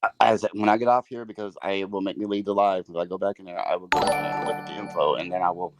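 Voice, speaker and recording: A man's voice: low voice, fairly even intonation, fast, poor audio. A man on a live call answering bluntly in a plodding way. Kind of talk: casual live chat. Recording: loud music behind.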